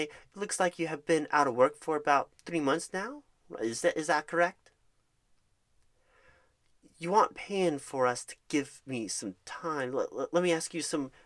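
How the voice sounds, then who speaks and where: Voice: mid-range voice, a bit bright, natural voice. A performer playing an upbeat, reassuring job recruiter in a soothing ASMR phone-screen roleplay, talking in a wordy, encouraging, salesy way.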